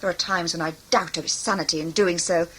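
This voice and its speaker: A woman's voice: fairly low pitch, steady tone, quick pace, fairly bright tone, a little hiss or hum, close to an everyday voice, poor audio. A woman playing a strict, formal mentor scolding a young priestess in a sci-fi audio drama. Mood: exasperated, insistent.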